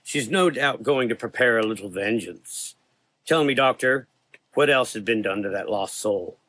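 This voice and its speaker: A man voicing a calm, grim vigilante hunter in a gothic audio drama, measured and commanding. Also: natural voice. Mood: calm, reassuring. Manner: measured, low-voiced, unflappable.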